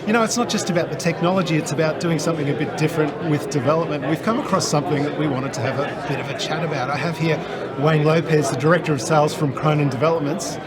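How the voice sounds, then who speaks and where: A man's voice: on the high side, fast. A man with an Australian accent conducting an interview, asking questions in an upbeat, chatty way on a busy conference floor. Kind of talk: interview at a trade event. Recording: very loud crowd noise behind. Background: crowd chatter.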